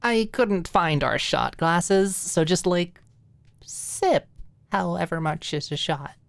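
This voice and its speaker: A woman voicing an excitable, gushing, easily flustered young woman in an audiobook. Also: fairly low pitch, takes it slowly, vocal fry, no room sound, pitched above the performer's own voice. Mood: flustered, apologetic. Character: bubbly, eager, self-doubting young woman hosting a sleepover. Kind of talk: audiobook character dialogue.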